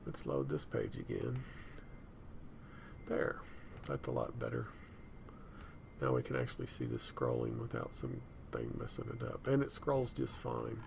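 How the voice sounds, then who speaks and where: A man presenting a software tutorial in a casual, unscripted way, thinking aloud as he goes. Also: fairly low pitch, big pitch swings, measured pace, gravelly, long pauses, background noise. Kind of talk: casual screencast demonstration.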